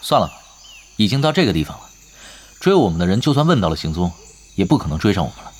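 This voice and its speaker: A man's voice: on the low side, big pitch swings, quick pace. A man acting in an audio drama as a laid-back, shrewd veteran gamer and team leader, matter-of-fact and understated. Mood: dry. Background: background music.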